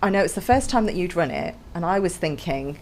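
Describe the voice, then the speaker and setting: A woman's voice: on the low side, lively intonation, fairly quick, a little mellow, slight background noise, rough recording. A woman hosting a podcast, chatting casually and laughing often. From England. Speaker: podcast host. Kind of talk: casual chat.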